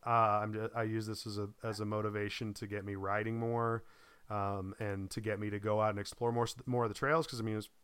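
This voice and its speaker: A man with a lowish, smooth and resonant voice rambles through casual talk, full of ums, restarts and the odd swear. He is getting over a lost voice and coughs now and then. The sound is close and dry. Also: flat delivery, fast, a bit bright, no echo. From Boise, Idaho. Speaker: podcast co-host. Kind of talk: casual chat.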